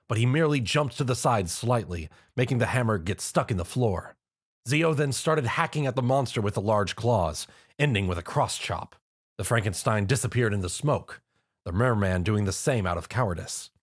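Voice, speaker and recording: A man's voice: mid-range voice, expressive, normal speed. A man reading a fan-written action story aloud as narrator. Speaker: reader.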